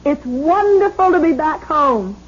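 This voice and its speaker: A woman's voice: sits high, animated, unhurried. A woman playing an anxious, chatty young newlywed bride in an earnest, theatrical 1970s radio drama style. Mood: happy, affectionate. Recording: dull 1970s radio broadcast.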